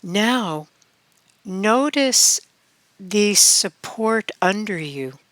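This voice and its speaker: Female meditation guide: calm, extremely unhurried delivery with long pauses, smooth clear tone, close and dry sound. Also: medium pitch, dry recording. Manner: calm, measured, with long pauses between phrases.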